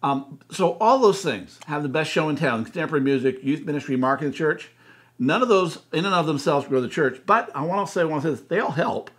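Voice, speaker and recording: A man's voice: a little high, lively intonation, fast talker, fairly bright tone. A man in his sixties with an American accent, explaining things conversationally and emphatically to a co-host and camera, often repeating phrases for stress. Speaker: church consultant and co-host. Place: indoor room, seated beside co-host.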